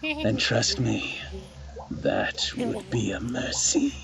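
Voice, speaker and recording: Gloating, gravelly fantasy warlord villain. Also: male voice, mid-range voice, wildly swooping pitch, distant mic, crowd noise, exaggerated voice. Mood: menacing, threatening. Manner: theatrical, menacing, drawn-out delivery. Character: gloating, grandiose male villain, menacing demonic warlord. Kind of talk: fantasy audio drama acting.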